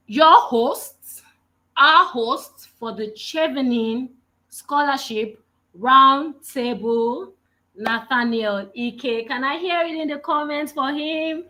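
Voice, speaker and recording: A woman moderating an online webinar, reading out a speaker's introduction in a measured, announcing way. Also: high voice, pushed and bright.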